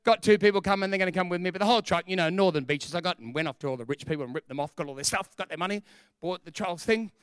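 An Australian man preaching through a comic anecdote, his voice high and smooth. He is chatty and animated, chuckling along with his own story. The sound is close and dry. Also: racing, no room sound. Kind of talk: humorous storytelling sermon.

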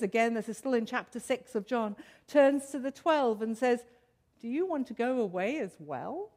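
A woman's voice: fairly high pitch, darkish tone. South African woman preaching a sermon.

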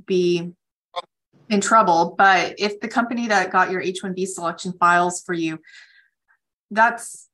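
A woman speaking as an attorney, answering callers' questions in a measured, matter-of-fact, explanatory way. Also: fairly low pitch, fairly even intonation, a bit bright, poor audio. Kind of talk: advisory question-and-answer on a conference call.

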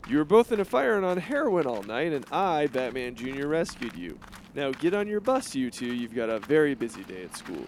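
A man's voice: medium pitch, big pitch swings, brisk, a little hiss or hum. A man voicing a bratty, selfish boy superhero in a comedy cartoon, smug and whiny. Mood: bossy.

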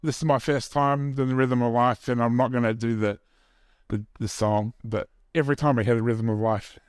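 Joking preacher with a New Zealand accent. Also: male voice, medium pitch, fairly even intonation, moderate pace, clear, resonant tone, no echo, studio quality. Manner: self-deprecating and joking, chatty asides to the audience. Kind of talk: informal sermon to a congregation. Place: church service.

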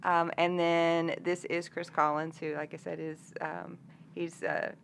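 A woman's voice: on the low side, flat delivery, unhurried, gravelly, slight background noise. A woman, speaking casually as a singer-songwriter being interviewed. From Arkansas, United States. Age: 50s.

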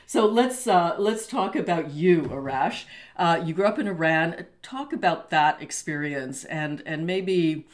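A woman's voice: on the low side, a little slow. An older woman hosting a casual interview, chatty and full of fillers. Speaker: podcast host.

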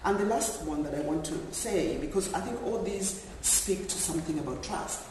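A man from Zambia speaking as a panel speaker, measured and reflective, with frequent fillers. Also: high voice, animated, background noise. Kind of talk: panel discussion remarks. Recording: echoey hall, distant mic.

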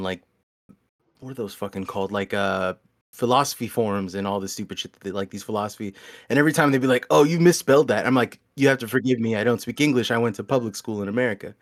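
A man's voice: on the low side, fast, no echo, clean studio sound. A man hosting a casual group chat, swearing, laughing often and stuttering at times.

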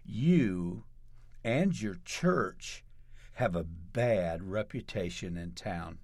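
A man voicing a solemn small-town mayor in a storytelling narration, grave, pointed and deliberate. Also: sits low, expressive, darkish tone, no echo, pitched below the performer's own voice, full character voice.

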